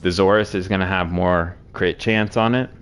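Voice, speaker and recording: Low-voiced hoarse man explaining game builds. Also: normal speed. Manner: rambling with fillers, relaxed. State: hoarse, sore throat. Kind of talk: casual build walkthrough.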